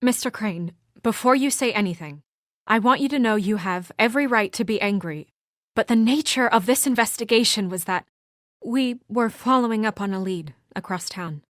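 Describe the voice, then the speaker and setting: Actress doing a brisk businesslike young woman game character, kinda exasperated but earnest, normal pitch, very dry recording. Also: fairly bright tone, dry recording, close to an everyday voice. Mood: brisk, focused. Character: brisk, exasperated young professional woman, dutiful deputy administrator. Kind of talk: video game voice acting.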